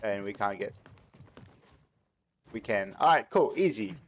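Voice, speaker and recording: A man's voice: on the low side, animated, measured pace, a little hiss or hum. A man giving casual, slangy gameplay commentary, talking to himself and to the game as he plays. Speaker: gamer recording a playthrough. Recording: game sound clearly behind voice. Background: video game ambience and effects.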